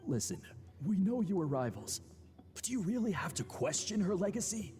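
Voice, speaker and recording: An earnest male disciple in a fantasy game. His pitch is on the high side and lively, and he explains himself defensively. Long reverb trails his words over faint music. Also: normal speed. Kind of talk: video game voice acting.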